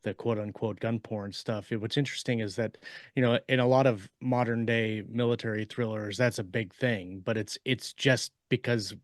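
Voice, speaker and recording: A man's voice: on the low side, fairly even intonation, normal speed, no echo, studio quality. An American man chatting casually as an author on a podcast panel, groping for words as he explains. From United States.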